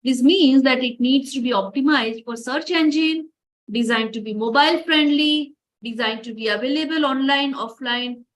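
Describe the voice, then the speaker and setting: A woman's voice: high-pitched, normal speed. A woman giving an online lecture over a video call, earnest and addressing participants directly. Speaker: workshop lecturer.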